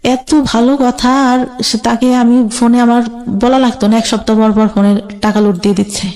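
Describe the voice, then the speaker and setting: A woman with a Bangladeshi accent shares a personal story as a guest. Her voice sits fairly high yet has a very soft, mellow tone. She talks colloquially, replaying exchanges as she goes. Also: flat delivery, moderate pace. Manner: recounts quoted exchanges in colloquial speech.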